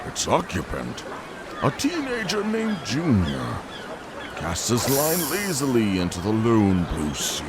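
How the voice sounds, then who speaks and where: A man's voice: fairly low pitch, background noise. A man narrating a scripted cartoon story in a dramatic style.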